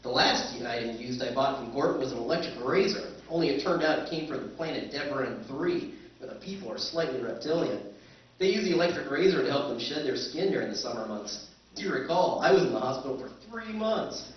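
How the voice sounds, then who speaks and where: A man's voice: mid-range voice, expressive, a little fast, lightly acted. A man narrating and playing a wry male surveyor, telling the story with dry humor in a live radio drama recreation. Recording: echoey hall, far mic, muffled, music.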